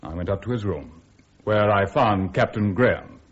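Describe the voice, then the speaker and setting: Man acting as a stiff british colonel, lowish voice, measured, dull radio drama recording. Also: close to an everyday voice. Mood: stiff, formal. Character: stiff, formal male army colonel giving evidence.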